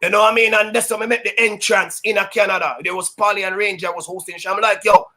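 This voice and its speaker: A Jamaican man who works as a sound system DJ, telling stories in a loud, high-energy, boastful way that is full of patois. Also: flat delivery, quick pace. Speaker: sound system DJ, interview guest. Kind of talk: casual storytelling interview.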